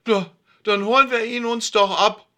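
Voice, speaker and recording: A man voicing a boastful, eager middle-aged roofer buddy in a comic story read aloud, talking loudly. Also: high voice, fairly even intonation, normal speed, pitched above the performer's own voice.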